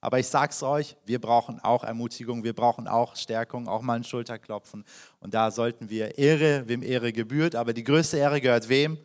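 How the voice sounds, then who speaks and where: A man's voice: medium pitch, moderate pace. A man in his thirties, originally from Iran, speaking as a guest pastor who introduces himself to a congregation in a chatty, personal way with frequent fillers and restarts. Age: 30s. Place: church hall.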